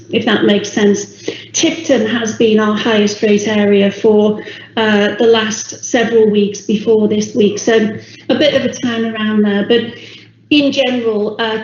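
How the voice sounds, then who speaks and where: A woman from a public health team briefing an online council meeting, speaking in a measured way with many ums while losing her voice. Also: fairly high pitch, a little mellow, slight background noise. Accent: English. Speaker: public health officer presenting. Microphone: video call. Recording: echoey, dull video call audio.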